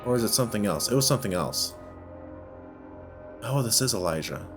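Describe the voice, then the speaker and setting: A man's voice: lowish voice, expressive, fairly quick. A man giving casual let's play commentary, thinking aloud and often questioning himself as he goes. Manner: musing, self-questioning. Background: background music.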